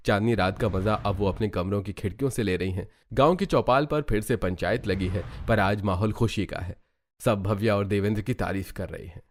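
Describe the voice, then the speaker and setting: A man's voice: lowish voice, a little fast, slight background noise. A man reading scripted narration for a cartoon story.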